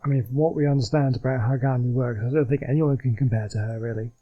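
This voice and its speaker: A man's voice: mid-range voice, fairly even intonation, fast, phone-quality sound, soft background music. A man giving casual game commentary as a let's play host, musing and chatty in a hesitant way.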